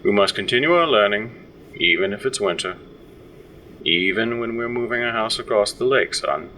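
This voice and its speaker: A man's voice: on the low side, lively intonation, normal speed, pushed and bright, slight background noise, rough recording. A man playing a practical, patient frontier father with dry humor in an audio drama. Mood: matter-of-fact.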